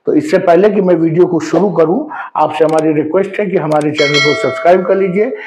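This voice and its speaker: A man's voice: on the high side, fairly quick, noisy background. A man presenting a current-affairs explainer as an educator, going step by step with everyday examples.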